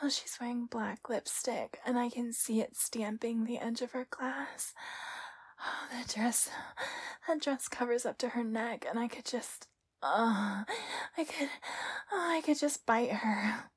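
A breathy, high-voiced temptress of a spirit, acted out by a woman in an intimate adult audio roleplay. She speaks slowly and teasingly, often slipping into a whisper, with sultry, playful hesitations.

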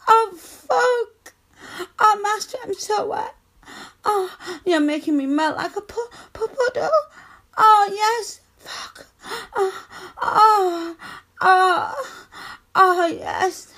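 Adult female, extremely high pitch, unhurried: actress as submissive lover for erotic audio, breathy, begging, eager to obey. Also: a bit bright, full character voice. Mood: ecstatic moaning.

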